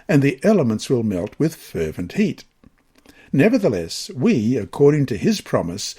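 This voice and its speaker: A man from Australia reading a scripted Bible lesson and reciting scripture in a measured, solemn, deliberate manner. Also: mid-range voice, warm tone.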